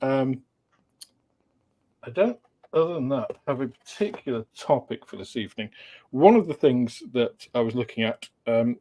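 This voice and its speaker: A man hosts a relaxed live video chat, his voice sitting at an ordinary middle pitch. He wanders through his points hesitantly, with plenty of filler sounds, and keeps the mood welcoming with light chuckles. He is speaking into a home computer mic. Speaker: host of a model-building livestream.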